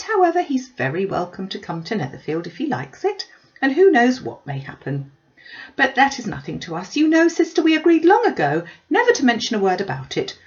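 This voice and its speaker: A woman voicing a fussy, excitable, self-pitying middle-aged mother in a classic novel, gushing and fretful. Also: on the high side, lo-fi sound, voice pushed up. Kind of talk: classic novel read-aloud.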